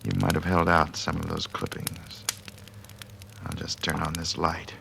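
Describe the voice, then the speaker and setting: A man's voice: very deep voice, completely flat delivery, gravelly, exaggerated voice. An actor playing a menacing crook who taunts his victim with mocking politeness in a 1940s radio suspense drama. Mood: gloating menace. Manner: slow, taunting, falsely polite. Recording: noisy old radio recording.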